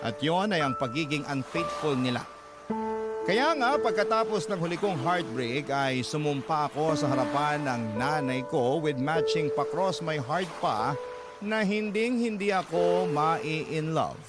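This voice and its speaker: A man's voice: a little high, lively intonation, unhurried, loud music behind the voice. A man with a Filipino accent acting a wry, self-deprecating 28-year-old recounting heartbreak in a radio drama. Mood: wry, reflective. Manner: colloquial, self-deprecating, Tagalog mixed with English. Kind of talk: first-person radio drama narration.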